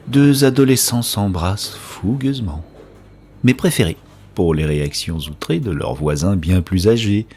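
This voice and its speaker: A man playing an introspective, gently wry narrator in a science-fiction audio drama, speaking in a literary, observational way. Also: on the low side, a little hiss or hum.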